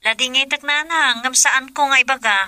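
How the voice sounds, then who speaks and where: A weary young wife, bright high voice. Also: female voice, fairly quick, dry recording. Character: unhappy young wife, tired and resigned. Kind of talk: radio drama acting.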